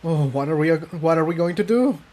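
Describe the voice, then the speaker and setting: A man plays an anxious female pony leader in a fantasy storybook. She speaks in a raised pitch, tense, grave and urgent. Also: expressive, brisk, darkish tone, close to an everyday voice. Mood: panicked.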